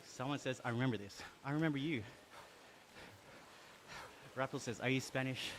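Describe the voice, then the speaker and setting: An Australian man on a casual live stream, reading viewer comments aloud while riding an indoor bike, upbeat and quick to laugh. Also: mid-range voice, a little hiss or hum. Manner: upbeat, laughs often, friendly and chatty.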